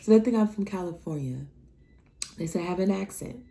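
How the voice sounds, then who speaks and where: A woman's voice: brisk, gravelly. A young woman from Nebraska telling personal stories in a casual vlog, acting out the dialogue with big jumps in her voice. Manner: animated, acts out dialogue with big pitch jumps. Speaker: vlogger.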